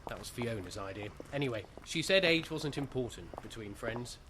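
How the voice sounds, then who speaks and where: Radio drama: woman as ordinary chatty woman, low pitch, animated, noisy recording. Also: moderate pace. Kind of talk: radio play acting, naturalistic chat.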